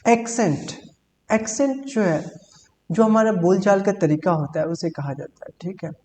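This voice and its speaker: A woman's voice: mid-range voice, a little mellow. Woman teaching vocabulary in an echoey hall. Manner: reads English examples slowly and deliberately, explains in Hindi; repeats words and says "ठीक है" often. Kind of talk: Hindi-English vocabulary lesson. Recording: long hall reverb with faint hum.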